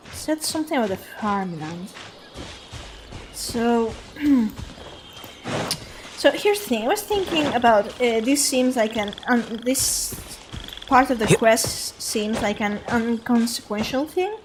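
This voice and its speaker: A woman's voice: on the high side, noisy background. A woman streaming a game, casually thinking aloud in a hesitant, rambling way. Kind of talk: casual live game commentary. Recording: game music behind.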